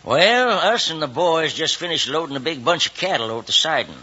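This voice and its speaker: An actor playing a gruff, suspicious, curt ranch foreman in an old western radio drama. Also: a little high, animated, brisk, sharp tone, heavily acted. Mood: gruff, distrustful. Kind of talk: 1950s western radio acting. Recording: dull old radio recording.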